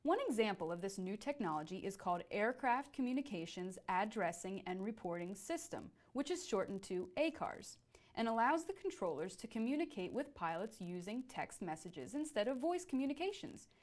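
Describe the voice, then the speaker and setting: A woman's voice: medium pitch, sing-song, normal speed. A woman presenting a scripted educational explainer.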